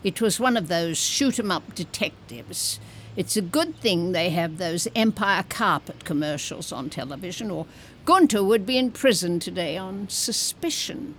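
A woman's voice: fairly low pitch, animated, normal speed, a bit bright, a little hiss or hum. A woman playing a cantankerous, bawdy, nosy old woman in a comedic audio drama, blunt, wry and grumbling.

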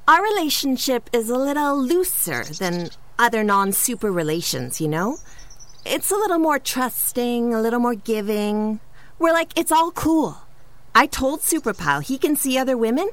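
Superhero's girlfriend: free-spirited, casual, expressive highish voice, slight noise. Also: moderate pace. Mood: breezy, trusting. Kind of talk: mockumentary interview in a comedic audio drama.

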